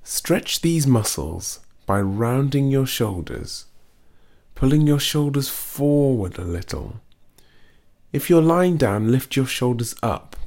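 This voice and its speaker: A man reading a guided muscle relaxation script in a slow, soothing, gently instructive way. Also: mid-range voice.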